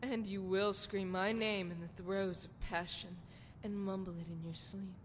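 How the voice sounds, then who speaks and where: A woman's voice: fairly even intonation, a little slow, close to an everyday voice. A woman playing a seductive, flirtatious priestess of a love goddess in a video game, with sultry, poetic, theatrical phrasing. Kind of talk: scripted video game character dialogue. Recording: long hall reverb, noisy rumbling background.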